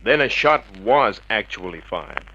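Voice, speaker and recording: An actor playing a wisecracking, cynical private detective with an American accent, dry and sardonic in a 1950s radio drama manner. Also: male voice, fairly low pitch, lively intonation, measured pace, pushed and bright, a little hiss or hum. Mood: shrewd. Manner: dry, sardonic, hard-boiled. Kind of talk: 1950s hard-boiled radio drama acting and narration. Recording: muffled vintage radio recording.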